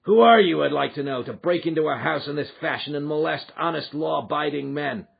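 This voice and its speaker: A man's voice: on the high side, a little fast, vocal fry, husky, muffled recording, voice pushed up, exaggerated voice. A man playing a huge, menacing, blunt American lodge boss who talks in folksy threats in an audiobook. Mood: indignant. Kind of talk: audiobook character acting.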